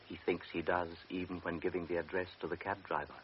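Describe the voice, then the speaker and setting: A male narrator of a vintage radio drama, measured and steady. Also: low-pitched, slightly rough. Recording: dull, hissy old radio recording.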